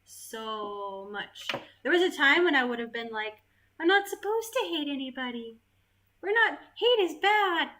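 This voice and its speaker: A woman from the US chats casually on a livestream, her voice high and bright with a slow, drawn-out pace. She laughs often and reads comments aloud into a webcam in her living room. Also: lively intonation, a little hiss or hum. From United States. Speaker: vlogger reading live chat.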